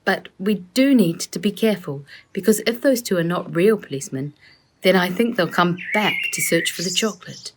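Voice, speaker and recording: An earnest, observant boy from a children's storybook, voiced by a woman reader. He explains things carefully and with emphasis, his pitch moving freely. There is faint noise on the recording. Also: expressive, normal speed, lightly acted. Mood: wary. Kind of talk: children's storybook read aloud.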